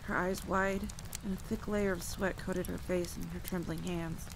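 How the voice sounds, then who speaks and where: A woman's voice: fairly low pitch, steady tone, moderate pace. A woman performing a scripted first-person campfire tale in a dramatic, suspenseful storytelling manner. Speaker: audio roleplay performer and storyteller. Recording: clear background noise of rain and thunder. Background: rain, rolling thunder and outdoor ambience.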